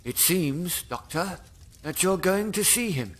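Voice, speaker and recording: An actor playing a guarded, blunt villager from an oppressed zone, terse and sceptical, in an audio drama. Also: male voice, high-pitched, lively intonation, unhurried, over loud music. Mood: grim, explanatory.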